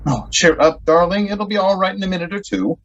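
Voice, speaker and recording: A man's voice: high-pitched, brisk, natural voice. A man playing a patient young sweetheart in a radio drama, coaxing and reassuring, on a muffled recording. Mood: soothing, cheerful. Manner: coaxing, old-fashioned endearments. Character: reassuring, patient young sweetheart keeping his companion calm. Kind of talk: stage-like radio drama acting. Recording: dull, muffled lo-fi audio.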